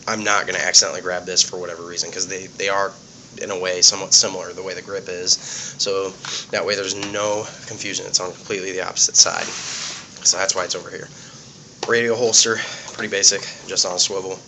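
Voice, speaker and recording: A man's voice: low voice, bright, forceful tone, a little hiss or hum, low-quality recording. A man giving a casual, plainspoken walkthrough of his police gear, explaining things simply and sounding sniffly from a cold. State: sniffly, getting over a cold.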